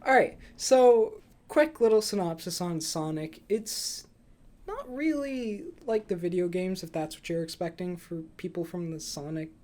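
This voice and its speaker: A man's voice: sits high, expressive, measured pace, a little mellow. A young man hosting a casual movie review podcast, chatting with dry, flippant humour. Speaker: podcast host.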